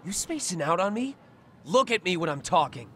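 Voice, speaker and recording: A woman voicing a surly, aggressive high school bully in a video game dub, hostile and scornful. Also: sing-song, moderate pace, fairly bright tone, a little hiss or hum.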